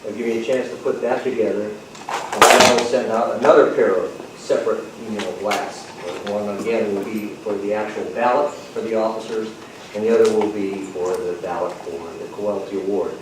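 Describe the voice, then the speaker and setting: A man with a fairly low voice makes a procedural announcement to a club meeting. He pauses and hesitates as he goes. The recording is heavily echoey, distant from the microphone and clearly noisy. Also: steady tone. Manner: halting, procedural, drawn-out hesitations. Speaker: club officer running the election process. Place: indoor club meeting room. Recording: echoey noisy meeting room, far mic.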